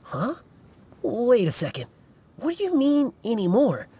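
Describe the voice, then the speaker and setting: Animated, fretful young fanboy. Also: male voice, extremely high pitch, measured pace, a little hiss or hum, exaggerated voice. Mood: anxious, puzzled. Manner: earnest, dramatic, sighing hesitation. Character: fretful, devoted young male fan club leader. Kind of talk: video game character dialogue.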